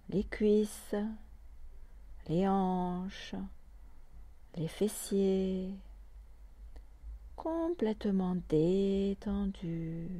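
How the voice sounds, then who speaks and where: A woman guiding a yoga nidra meditation calmly and softly, in short phrases with long pauses between them. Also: mid-range voice, no room sound. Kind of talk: slow guided meditation.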